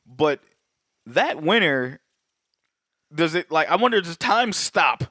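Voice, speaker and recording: A young man talks loosely on a podcast, circling back and restarting his thoughts. His voice sits a touch high, and the sound is dry and close with no room. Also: big pitch swings, slow, bright, forceful tone, dry recording. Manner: rambling, many restarts and fillers. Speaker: podcast co-host. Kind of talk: casual chat.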